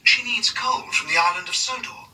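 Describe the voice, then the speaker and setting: A man's voice: sits high, moderate pace, pushed and bright, far from the mic, pitched above the performer's own voice. A man voicing an eager, earnest young girl in a children's storybook, speaking with wonder.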